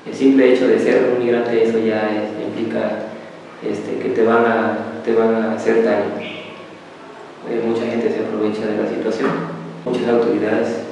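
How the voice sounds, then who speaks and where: A man gives a personal testimony as a migrant rights defender. He speaks earnestly and pauses to search for his words. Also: fairly low pitch, monotone, creaky, a little mellow. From Guatemala. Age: 20s. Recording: distant echoey hall with noise.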